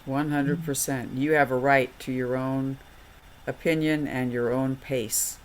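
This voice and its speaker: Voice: medium pitch, fairly even intonation, a little slow, poor audio. A panel moderator who thanks and affirms each speaker with effusive praise.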